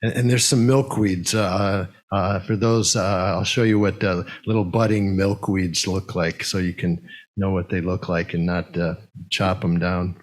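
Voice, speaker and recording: A man leading a service, speaking in a halting way as he makes announcements and reads poetry to a congregation. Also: sits low, steady tone. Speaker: service leader and poem reader.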